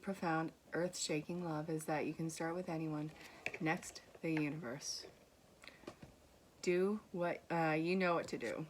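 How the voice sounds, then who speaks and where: A young woman with a low voice and an accent that mixes soft Vermont with New York, talking straight to her viewers. She gives a casual, reassuring spiritual reading and teases gently as she reads card messages aloud. A faint hiss of room noise sits under her voice. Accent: mixed Vermont and New York. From Vermont, USA. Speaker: tarot reader.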